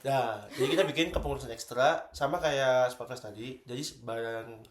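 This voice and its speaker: A young woman explains things casually, like a committee member among peers. Her voice is strikingly deep, and the recording is full of room echo. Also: normal speed. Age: young adult. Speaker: student committee member presenting events. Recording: very roomy, echoey indoor sound.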